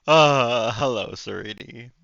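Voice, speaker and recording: A man with a hoarse, nearly gone voice, chatting casually and effusively on a game livestream. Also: mid-range voice, takes it slowly, pushed and bright, no echo, muffled recording. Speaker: game streamer.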